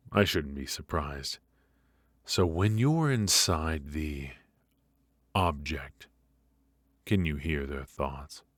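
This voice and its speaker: A man playing a menacing, teasing mafia boss in an intimate ASMR roleplay, speaking with deliberate pauses and mock politeness. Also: extremely low pitch, a bit bright, dry recording, exaggerated voice. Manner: slow, deliberate, mockingly polite with drawn-out pauses.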